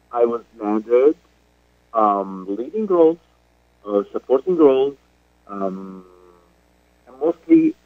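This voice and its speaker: Slow Syrian-accented man on a phone line. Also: lowish voice, dry recording. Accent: Syrian Arabic. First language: Arabic. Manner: slow, halting, many fillers and restarts. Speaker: guest actor. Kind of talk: radio interview. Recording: dry phone-line call.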